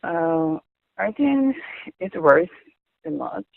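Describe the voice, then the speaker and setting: Young man, Korean accent, non-native English, slow and hesitant, dry tinny line. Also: a little high, very animated, creaky, no echo. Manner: halting, searching for words, frequent restarts. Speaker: interviewee. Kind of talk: casual conversation in English. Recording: tinny phone-like audio.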